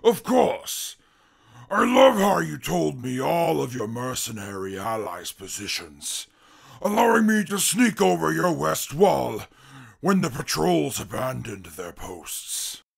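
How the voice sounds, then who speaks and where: Here is a proud, self-styled genius of a monster in an audio drama. The voice is slow and husky, swinging widely in pitch. Its formal, deliberate diction strains against a short temper. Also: male voice, sing-song, heavily acted. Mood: smug, formal. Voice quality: gravelly, husky. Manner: formal, deliberate speech flaring into shouted outbursts, then apologizing. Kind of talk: post-apocalyptic audio drama acting.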